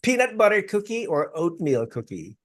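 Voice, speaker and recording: An effusive male host chatting over a video call, his pitch swinging widely as he talks. The sound is dry, with almost no room around it. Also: big pitch swings, dry recording. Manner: effusive, quick to praise and laugh. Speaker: interview host. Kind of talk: casual interview chat.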